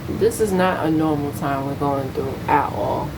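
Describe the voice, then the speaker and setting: A woman's voice: deep voice, moderate pace, gravelly, background noise. An American woman in her thirties chatting casually as a vlogger, candid, slangy and opinionated. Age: 30s. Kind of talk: casual chat to camera.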